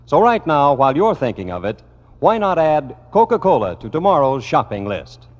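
A man's voice: on the high side, sing-song, moderate pace. A man working as a radio announcer, delivering scripted sponsor copy and music introductions in a classic radio style. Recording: dull vintage radio, long hall reverb.

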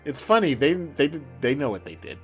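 Guy hosting a podcast, really animated pitch, sweary and joking around, casual chat. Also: brisk, music in the background. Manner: irreverent, sweary. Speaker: podcast host.

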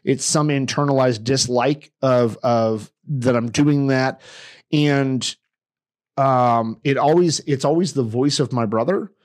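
A young man co-hosts a casual podcast chat, swearing in nearly every sentence. He rambles and circles back on his points with open emotion, in a voice of ordinary middle pitch. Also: takes it slowly. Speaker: podcast co-host.

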